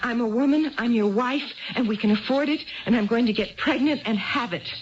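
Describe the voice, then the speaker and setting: A woman's voice: fairly high pitch, brisk, slight background noise, close to an everyday voice. An actress playing an earnest, neglected but stubborn wife in a 1970s radio drama, plaintive and given to repeating her phrases. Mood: determined. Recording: dull lo-fi 1970s radio broadcast.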